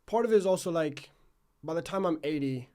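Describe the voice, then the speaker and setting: A man's voice: on the high side, animated, brisk, darkish tone. A man hosting a podcast in a casual, reflective way, rambling and self-questioning as he circles toward his questions. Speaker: podcast host, interviewer. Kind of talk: casual reflective interview chat.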